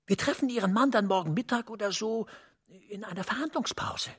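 An actor playing a commanding senior official in a German radio play, husky and breathy, speaking deliberately and dropping to near-whispers. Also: male voice, high voice, background noise, natural voice. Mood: brisk, commanding. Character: commanding male senior official, guarded, urgent, conspiratorial.

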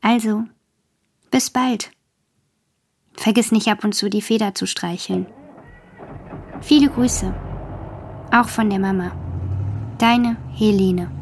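A female actor playing a frank, wistful young girl reading a letter in a radio drama, halting and childlike. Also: medium pitch, a little hiss or hum. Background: plucked guitar music.

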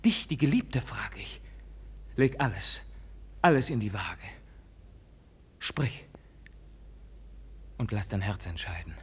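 A man's voice: on the low side, very animated, slow, pauses often. An actor playing a passionate young nobleman officer torn between love and duty, declaiming classical verse in a radio drama. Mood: tender imploring. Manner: declamatory, pleading, rising to impassioned cries.

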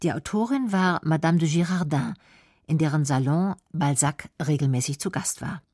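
A woman's voice: low-pitched, normal speed, darkish tone, no echo, clean studio sound. A woman reading scripted narration for a radio documentary.

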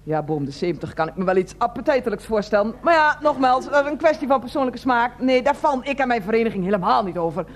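An actress playing an older, self-righteous head of a residents' association in a radio play, wheezy, formal and repetitive. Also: female voice, a little high, animated, quick pace, hardly any pauses, close to an everyday voice. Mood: primly disapproving. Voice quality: wheezy, asthmatic breathing. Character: fussy, indignant older woman heading a residents' association. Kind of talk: Dutch radio play acting.

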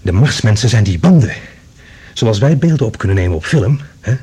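Fast-talking, husky, urgent man. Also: on the low side, sing-song, warm tone, exaggerated voice. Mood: awed, grave. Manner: urgent, earnest, dramatic. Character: agitated urgent man, colleague bringing alarming news. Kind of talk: science fiction radio play acting.

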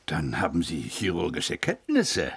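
An actor playing a sardonic police investigator in a radio drama, questioning in a pointed, insinuating way. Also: male voice, sits low, huge pitch swings, measured pace, gravelly, slightly rough, full character voice. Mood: stern, pressing. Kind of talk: German radio drama dialogue.

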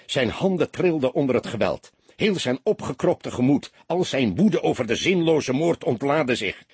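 Husky, expressive male audiobook narrator. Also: medium pitch, moderate pace, no room sound. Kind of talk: dramatic scripted narration of a detective novel.

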